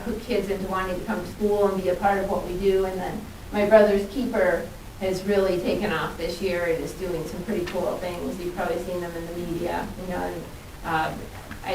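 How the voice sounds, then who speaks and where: A woman giving an informal, explanatory presentation as a school administrator at a meeting, often saying "you know". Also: fairly low pitch. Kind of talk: spoken presentation at a board meeting. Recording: echoey, distant mic with hiss.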